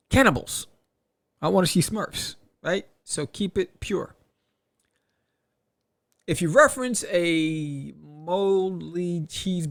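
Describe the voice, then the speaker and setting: A man hosting a podcast, giving casual advice and reading aloud with his own commentary, animated and punctuating points with rhetorical questions. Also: a little high. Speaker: podcast host.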